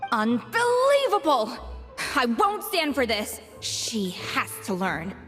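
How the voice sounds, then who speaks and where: A woman playing a proud, exacting young head chef in a video game, with crisp, haughty, formal diction. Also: a little high, wildly swooping pitch, slow pace, full character voice. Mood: outraged. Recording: reverberant game audio with music.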